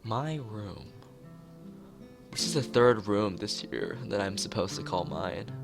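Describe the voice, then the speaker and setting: A man's voice: fairly low pitch, expressive, takes it slowly, vocal fry. A man streaming a visual novel read-aloud, narrating with casual asides and sometimes stumbling over his words. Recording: music behind the voice.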